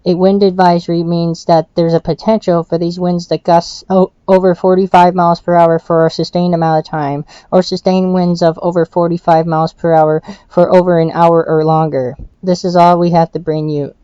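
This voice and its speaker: A woman's voice: lowish voice, level tone, moderate pace, hardly any pauses, mellow, no echo. A woman presenting a scripted weather bulletin in the style of a television news update. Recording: dull lo-fi sound.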